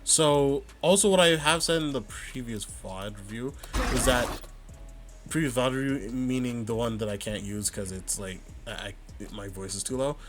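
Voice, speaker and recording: A man calmly breaking down his own match footage, thinking aloud and stopping to rewind and check his plays. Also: mid-range voice, moderate pace, music in the background. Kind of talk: casual vod review commentary.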